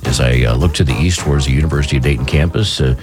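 A man hosting a morning radio show, chatting casually while hoarse from a cold, rambling with lots of fillers. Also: very deep voice, music in the background. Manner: rambling, halting, with many fillers. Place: radio studio.

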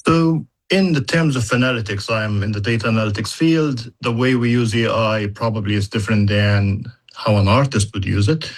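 A man who speaks English as a second language, explaining things as an educator in a measured interview conversation, using examples. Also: medium pitch. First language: not English. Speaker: university educator in data analytics.

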